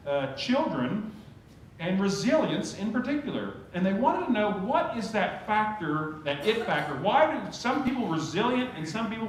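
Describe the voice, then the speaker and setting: A pastor preaching a sermon in a conversational, storytelling way. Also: male voice, high voice, fairly bright tone. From Oklahoma. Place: church sanctuary. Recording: very echoey church sanctuary, faint hum.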